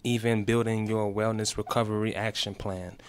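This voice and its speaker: Smooth low-voiced young man. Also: little pitch movement, moderate pace. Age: 20s. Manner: open, encouraging, testimonial. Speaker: guest, peer specialist. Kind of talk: casual interview chat. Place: small radio studio, indoors. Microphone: desk mic on stand.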